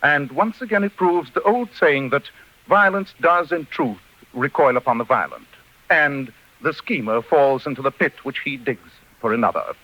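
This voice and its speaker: An actor playing a shrewd, stern British gentleman detective in a 1940s radio drama, crisp, formal and commanding. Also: medium pitch, lively intonation, normal speed. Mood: somber. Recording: dull lo-fi 1940s radio recording, faint music.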